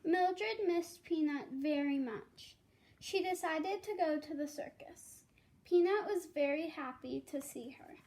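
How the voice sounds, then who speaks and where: Young girl reading slowly, high voice. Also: darkish tone. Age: child. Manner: careful, halting reading. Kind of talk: children's storybook read-aloud.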